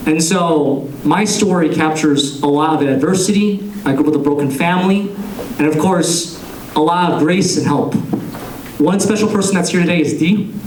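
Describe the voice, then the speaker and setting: A young man speaks to a crowded room in a somewhat higher voice, earnest and measured, breaking his lines into short phrases. The sound bounces around the room. The mic sits some way off, with faint ambient noise behind him. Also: a little mellow. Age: young adult. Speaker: political candidate. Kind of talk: campaign speech. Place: large room with an audience. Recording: echoey room, captured from a distance.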